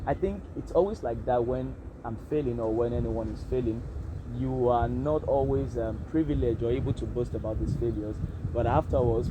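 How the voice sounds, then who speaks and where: Reflective Nigerian man, noisy background. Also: mid-range voice. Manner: hesitant, reflective, many fillers. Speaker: vlogger. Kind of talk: casual self-interview monologue.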